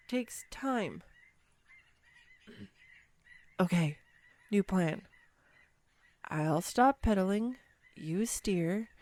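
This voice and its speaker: A woman playing a clumsy, giggly, self-deprecating girlfriend on a date, in an intimate one-sided roleplay audio drama. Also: medium pitch. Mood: playful. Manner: flustered, self-deprecating, chatty with bursts of laughter.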